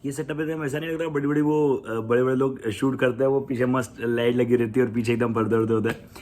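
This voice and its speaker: A man's voice: medium pitch, steady tone, fast talker, darkish tone. A young adult Indian man with an Indian accent, a coaching teacher giving students a casual, emphatic pep talk, mixing English into Hindi and repeating his key points. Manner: mixes English into Hindi, addresses students as beta and bhaiya.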